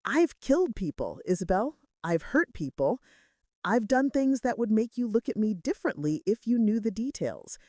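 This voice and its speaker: Woman voicing a brooding, intense mafia boss, lively pitch. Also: normal speed, no echo, clean studio sound. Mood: haunted, remorseful. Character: brooding, remorseful young male mafia boss confessing his love. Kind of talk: romance audiobook dialogue.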